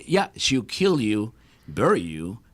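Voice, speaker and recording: A singer-songwriter from Argentina with an Argentine accent, chatting easily and joking as a guest in a radio studio. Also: male voice, lowish voice, slow. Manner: relaxed, jokey storytelling. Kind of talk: live studio performance and chat.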